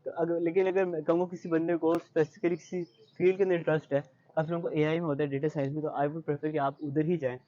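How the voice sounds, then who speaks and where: Young pakistani guy explaining stuff in an interview, talks really fast, slightly high voice, mixes in english. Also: level tone, mellow, highs cut off, a little hiss or hum. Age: young adult. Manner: explanatory, advice-giving. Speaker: interview guest, university student. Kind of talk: conversational interview answers mixing in English.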